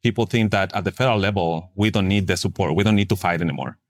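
A man's voice: low voice, fast. A man from Chile with a Chilean Spanish accent, Spanish his first language, answering interview questions as a nonprofit fundraiser, hesitant with many fillers. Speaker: pro-life nonprofit fundraiser.

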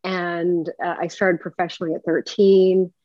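A woman's voice: on the low side, flat delivery, moderate pace, darkish tone, dry recording. Talkative woman from Puerto Rico, lo-fi call. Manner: talkative, rambling storyteller. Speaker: actress guest. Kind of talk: casual interview conversation. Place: home room. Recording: dry, slightly dull lo-fi sound.

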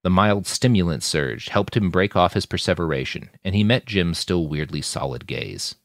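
A man's voice: low-pitched, lively intonation, moderate pace, no echo, studio quality. A man reading scripted fiction aloud as a narrator in an audiobook style.

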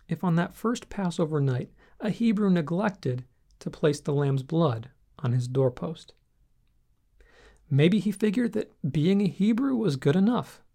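A man reading a scripted devotional teaching aloud, with measured, deliberate phrasing and a solemn, earnest manner. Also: a little high, creaky, dark tone. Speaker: Bible teacher reading own article.

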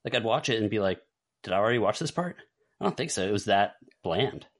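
Low-pitched man chatting on a podcast. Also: animated, fast. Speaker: podcast co-host. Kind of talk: casual chat, film ranking.

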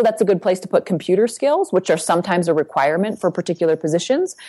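A woman with a somewhat low voice speaks quickly and practically, giving career advice. She peppers her points with quick "right?" check-ins. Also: darkish tone. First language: English. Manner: brisk, practical, tag questions like "right?". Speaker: guest career coach. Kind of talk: advice interview.

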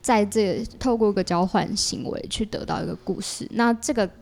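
A woman with a Taiwanese accent talks as a guest in a casual interview. Her voice sits at an average pitch. She explains her creative work conversationally, hedging and adding small asides as she goes. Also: normal speed, vocal fry, darkish tone. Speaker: podcast guest, audio drama creator. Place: small partitioned dessert cafe in an alley.

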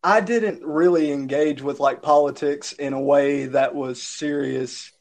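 A man with a Southern American accent, a podcast guest who talks in a thoughtful, well-spoken way with some halting restarts. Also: fairly high pitch, steady tone. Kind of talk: casual conversational interview.

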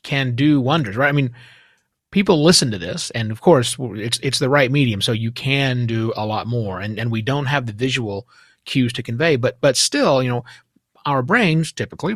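A man's voice: mid-range voice, lively intonation, a little fast, no room sound, studio quality. A man hosting a podcast interview, giving conversational commentary and asking technical questions. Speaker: podcast host.

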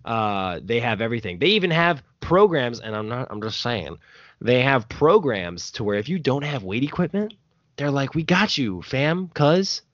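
A man's voice: medium pitch, sing-song, moderate pace, no room sound, dull audio. A man in his early twenties with an American accent hosting a casual podcast in a playful, slangy way. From Arizona, United States. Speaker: podcast host.

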